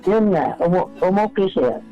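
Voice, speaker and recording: A man calling a radio show, telling a personal story casually, politely and haltingly. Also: high-pitched, animated. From Bohol, Philippines. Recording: dull, band-limited phone call. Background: music.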